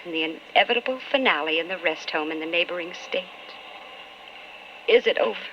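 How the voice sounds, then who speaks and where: An actress playing an anxious, brooding politician's wife in a wistful, dramatic old-time radio style. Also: female voice, fairly low pitch, fairly quick, slight background noise, natural voice. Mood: anguished, brooding. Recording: muffled old radio recording.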